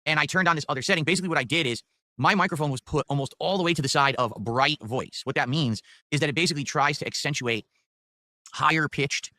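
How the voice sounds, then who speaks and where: A man chatting in a casual stream monologue, words tumbling out very fast. His voice is bright and a little pressed. The sound is close and completely dry, like a desk microphone in a home room. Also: a little high, no room sound. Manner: rambling explanation with many fillers. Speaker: streamer being reacted to. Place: home room with window open and fans running. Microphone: studio desk microphone.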